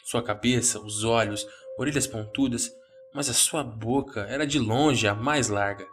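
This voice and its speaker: A man's voice: mid-range voice, measured pace. A man with a Brazilian accent, speaking as the narrator and host of a horror story podcast.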